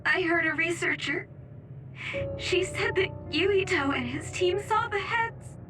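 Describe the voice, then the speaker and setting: An actress playing a fragile, gentle young woman in a video game, despairing and apologetic. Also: very high voice, expressive, slow pace, over music, heavily acted. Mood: shaken. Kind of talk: English video game voice acting.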